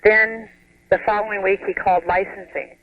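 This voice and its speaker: An American woman phoning a radio show, explaining her situation in a casual, earnest, slightly halting way. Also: medium pitch, steady tone, no room sound. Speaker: caller, home daycare operator. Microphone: telephone. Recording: muffled phone line on radio.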